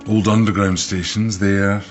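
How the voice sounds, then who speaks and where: An actor with a Scottish accent playing a brooding, preoccupied investigator in a naturalistic radio drama. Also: male voice, fairly low pitch, level tone, unhurried, lightly acted. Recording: muffled, with music behind.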